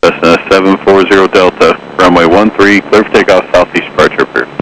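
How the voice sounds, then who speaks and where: A man's voice: low voice, steady tone, a little fast, a bit bright, low-quality recording. A male air traffic controller giving clipped instructions in standard phraseology over aviation radio. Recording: radio transmission with faint noise.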